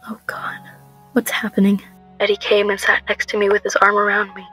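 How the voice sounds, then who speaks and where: A woman's voice: medium pitch, monotone, fairly quick, sharp tone, breathy edge, faint music behind. A woman playing a frightened young woman on the run, narrating and speaking in a radio drama. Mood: shaken, subdued, then reflective. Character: Eleanor, frightened young woman on the run, telling her story. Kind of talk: first-person radio drama narration and dialogue.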